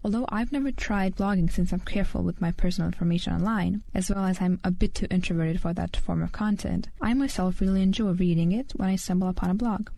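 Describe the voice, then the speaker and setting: A woman's voice: fairly low pitch, fast talker, creaky, hardly any pauses, dark tone, dry recording. A teenage girl from Lithuania, speaking English with a Lithuanian accent as a second language, hosting her own solo podcast and reading an earnest prepared script. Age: teens. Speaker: podcast host.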